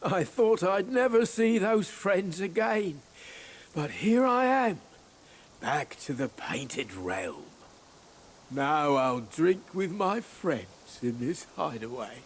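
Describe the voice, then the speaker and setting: An actor playing an easygoing, wistful drunken deity in a fantasy game, with languid, theatrical delivery. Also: male voice, on the high side, very animated, takes it slowly, slight background noise, heavily acted. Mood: wistful, merry. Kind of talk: fantasy video game acting.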